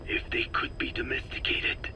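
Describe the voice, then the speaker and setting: An actor playing a solemn, elderly Navajo scientist in a video game, speaking in a breathy whispery rasp with halting, measured phrases. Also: male voice, noisy background, close to an everyday voice. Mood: sorrowful, proud. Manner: slow, measured, halting delivery in short phrases. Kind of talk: dramatic video game dialogue.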